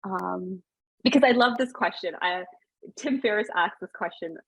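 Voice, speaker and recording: A woman's voice: mid-range voice, moderate pace, breathy edge, dry recording, low-quality recording. A woman in her thirties, born in Sri Lanka and raised in Canada, chatting casually as a podcast guest, with lots of fillers and restarts. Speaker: podcast guest, business founder. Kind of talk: casual interview chat.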